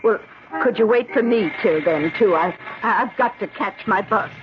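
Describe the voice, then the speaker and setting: A woman's voice: mid-range voice, moderate pace, close to an everyday voice. An actress playing a proud, kindly elderly woman who speaks hesitantly in a 1970s radio drama. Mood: firm. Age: older. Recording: dull, hissy old radio recording.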